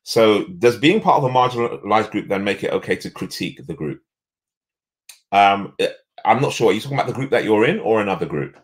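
Deep guy on a video call, lively swoopy delivery, low voice. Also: fairly quick. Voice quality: deep, resonant voice. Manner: animated, emphatic storyteller. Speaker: guest, leadership coach. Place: home office with bookshelves.

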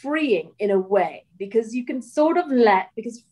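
A woman's voice: fairly high pitch, animated, normal speed, a little mellow. A woman writer chatting on a video call panel, rambling and hesitant, often trailing off and starting over. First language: English. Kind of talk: casual panel talk.

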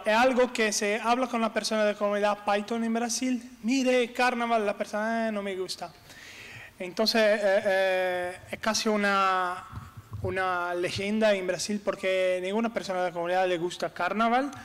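Brazilian man in his thirties, conference speaker, high smooth voice, halting delivery with fillers, desk mic in a big reverberant hall. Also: fairly even intonation, a bit bright. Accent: Brazilian Portuguese. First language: Portuguese. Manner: halting, searches for words with many fillers. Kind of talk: conference talk. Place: large hall with brick walls, on stage at a table. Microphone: desk microphone on stand.

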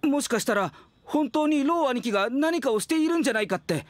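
A man's voice: very high-pitched, expressive, fast, no room sound. A man voicing an earnest, worried young undertaker in an anime-style game, serious and brooding. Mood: troubled, brooding. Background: background music.

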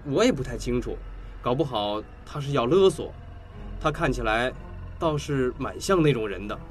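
An actor playing a cynical teenage high school boy in a radio drama, mocking and flippant. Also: animated, normal speed, soft background music, close to an everyday voice. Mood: flippant, scornful.

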